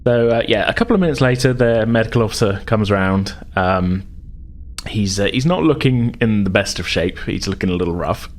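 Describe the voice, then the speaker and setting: A man's voice: fairly low pitch, animated, fairly quick. A man running a tabletop roleplaying game, narrating and explaining events in a hesitant, conversational way. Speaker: game master.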